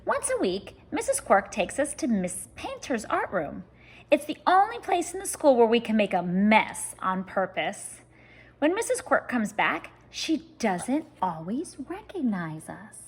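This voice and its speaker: Woman reading aloud, high swooping voice. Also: measured pace, slight background noise. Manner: expressive, addressing children directly. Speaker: teacher reading a picture book aloud. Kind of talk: animated children's story read-aloud.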